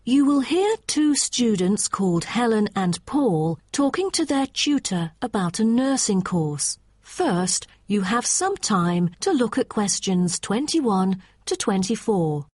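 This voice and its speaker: Woman, exam announcer, unhurried, formal, animated pitch, scripted instructions, dry studio-like sound. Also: no echo. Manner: measured, formal. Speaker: test announcer.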